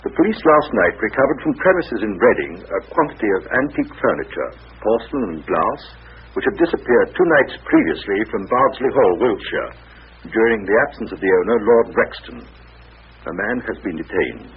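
A formal radio newsreader in an old radio play. His husky, rather low voice reads at a steady, measured pace through a dull, muffled recording. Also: exaggerated voice. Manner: measured, formal news reading. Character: formal radio newsreader reading the morning bulletin.

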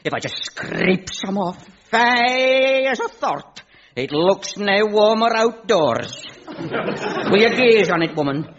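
A man playing a gruff Scottish servant in a kilt, speaking broad dialect with a slow drawl. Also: high-pitched, lively intonation, a bit bright, a little hiss or hum, full character voice. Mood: gloomy. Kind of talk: broad sketch comedy with a live audience. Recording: dull lo-fi recording with faint audience.

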